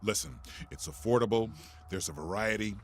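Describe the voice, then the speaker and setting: A man's voice: fairly low pitch, normal speed. A man hosting a podcast, reading a sponsor ad in a chatty, scripted way. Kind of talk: chatty host-read ad. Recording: music behind the voice.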